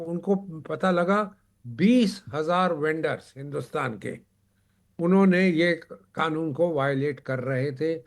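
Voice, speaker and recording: A man speaking as a panelist in a televised discussion, emphatic, in short broken phrases with repetition. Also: on the high side.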